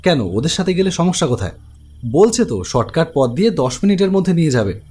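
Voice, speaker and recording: A lively young elder brother. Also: male voice, a little high, husky. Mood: reassuring. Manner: brisk, teasing, reassuring. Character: young man, protective elder brother and narrator. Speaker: narrator. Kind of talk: animated ghost story narration and dialogue.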